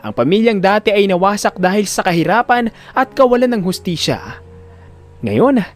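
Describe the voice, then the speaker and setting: A man narrating a scripted drama story in an expressive, emphatic storyteller's delivery. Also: high voice, moderate pace, faint music behind.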